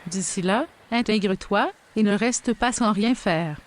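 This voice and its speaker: Woman acting kindly overseer, audio drama, instructive, mid pitch, light background noise. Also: unhurried, close to an everyday voice. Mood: kindly, advising. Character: kindly, advising woman overseeing a young captive.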